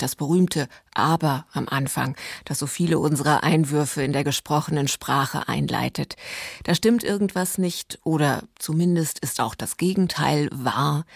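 A woman presents a scripted literary introduction as a radio host. Her voice sits very low and carries a clear creak. The sound is close and dry, with hardly any room around it. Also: darkish tone, no room sound.

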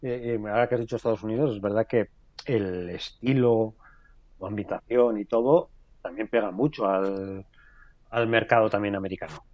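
A man's voice: lowish voice, normal speed, a little mellow, muffled recording. A man, co-hosting a writing podcast, talking in a conversational, hesitant way. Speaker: podcast co-host.

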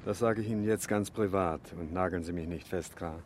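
An actor playing a blunt, busy garage boss in a radio crime drama, brusque and colloquial. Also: male voice, low voice, a little fast, darkish tone, a little hiss or hum, close to an everyday voice. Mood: confiding, scornful.

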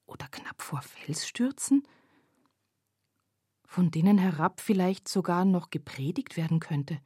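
A reflective woman narrating a literary radio play. Her voice is low and mellow with a husky edge. She speaks deliberately, as if observing a huge painting. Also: lively intonation, no room sound, studio quality. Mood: pensive, uneasy. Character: pensive female first-person narrator viewing a panorama.

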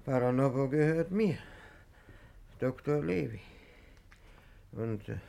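An ailing, confused estate owner in an old radio drama. His voice is very creaky and slow, breaking off and repeating words as he mutters along. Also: mid-range voice, expressive, warm tone. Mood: confused, testy. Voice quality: gravelly, creaky. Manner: halting, muttering, repeating himself. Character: sick, confused male owner of a country estate. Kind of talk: 1950s German radio play acting. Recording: 1950s radio drama recording.

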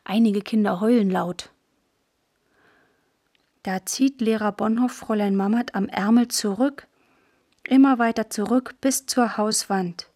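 A woman's voice: medium pitch, measured pace, pauses often, a little mellow, no room sound, studio quality. A woman narrating a literary radio play as a girl who remembers the war's end, observant and matter-of-fact, in short clipped sentences. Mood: sober, observant.

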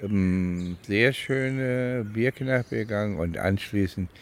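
Slow-spoken older man, reflecting. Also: lowish voice. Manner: halting, reflective, conversational. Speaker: participant in a documentary radio play. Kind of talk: spontaneous personal reminiscence.

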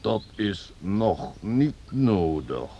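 A man's voice: fairly low pitch, sing-song, very slow, gravelly, warm tone, breathy edge, heavily acted. An actor playing a solemn, mysterious old man in a radio drama, speaking in formal, archaic, ceremonious language. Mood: solemn, withholding. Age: older.

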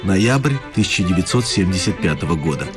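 A man's voice: low voice, lively intonation, a little fast, over loud music. A man reading scripted scene-setting narration for a radio drama in a factual, formal way.

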